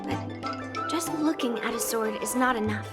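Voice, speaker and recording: A woman's voice: fairly high pitch, measured pace, close to an everyday voice. A young actress voicing a bold, blunt young swordswoman in a video game cutscene, talking in a teasing, confident way. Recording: game cutscene over music. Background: background music.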